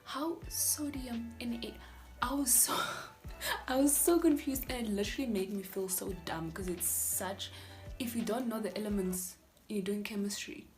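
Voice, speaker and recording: A woman's voice: mid-range voice, normal speed, soft background music. Chatty South African young woman. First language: Afrikaans. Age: 20s. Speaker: vlogger, university student. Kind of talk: candid personal storytelling vlog.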